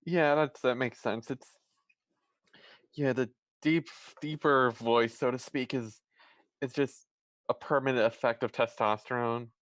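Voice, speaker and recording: A person in casual group chat, relaxed and agreeable, thinking aloud with a few restarts. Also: male voice, mid-range voice, measured pace, dry recording. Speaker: podcast host.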